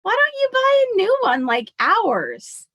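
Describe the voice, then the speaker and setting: A woman's voice: very high-pitched, huge pitch swings, takes it slowly, a bit bright, highs cut off, voice pushed up, full character voice. A woman voicing a dismissive grown daughter and mother in a storybook, with an emphatic, questioning manner. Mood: exasperated.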